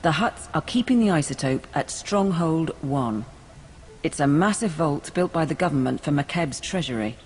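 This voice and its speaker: An actor playing a brisk, wry intelligence operative in a video game, briefing a commander in a glib, informal way. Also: mid-range voice, expressive, a little hiss or hum, close to an everyday voice. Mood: businesslike. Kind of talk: video game cutscene acting. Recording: music behind.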